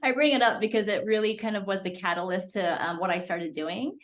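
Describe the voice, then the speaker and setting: A woman's voice: mid-range voice, fairly quick. A woman in her forties from Hong Kong, chatting as a podcast guest, talking freely with many fillers. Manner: chatty, many fillers, laughs between phrases. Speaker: podcast guest, beverage founder. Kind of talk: casual interview chat.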